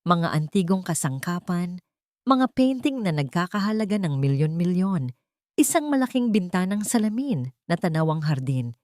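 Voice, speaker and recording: A woman narrating a scripted dramatic story in a measured, theatrical way. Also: fairly low pitch, very animated, darkish tone, no room sound, clean studio sound.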